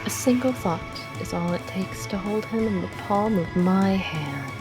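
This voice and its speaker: A woman's voice: medium pitch, expressive, measured pace, over music, close to an everyday voice. A woman voicing an arrogant, sadistic would-be goddess in a visual novel, haughty and theatrical as she savors her words. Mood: gloating. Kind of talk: dramatic visual novel monologue.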